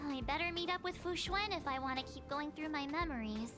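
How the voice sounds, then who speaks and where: A woman voicing a plucky, expressive teenage girl adventurer in a video game. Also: high voice, a little fast, over music, lightly acted. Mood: resigned. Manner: expressive, exclaiming.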